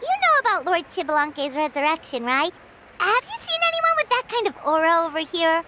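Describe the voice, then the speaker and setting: A woman voicing a tiny, cheeky, excitable fairy girl in a video game, with a squeaky childlike voice and a chirpy manner. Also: fairly quick, slight background noise, full character voice. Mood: eager. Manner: chirpy, speaks of herself in third person.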